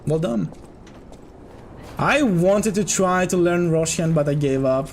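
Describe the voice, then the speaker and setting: A man's voice: high voice, animated, slow pace, a little hiss or hum. A man with a Greek accent tinged with British, a game developer chatting casually with viewers while playing, rambling and correcting himself often. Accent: Greek, slightly British-tinged. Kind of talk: casual gameplay chat with viewers.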